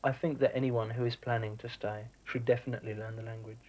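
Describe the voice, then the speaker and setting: A man's voice: mid-range voice, flat delivery, fast talker, a little mellow, poor audio. A man from England, an art teacher, speaking reflectively in a documentary interview.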